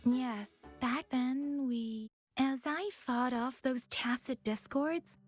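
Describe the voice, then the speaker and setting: A woman playing an earnest, composed young soldier in a video game, explaining things patiently in measured phrases. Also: sits high, highs cut off, faint music behind. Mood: earnest, explanatory. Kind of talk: scripted video game dialogue.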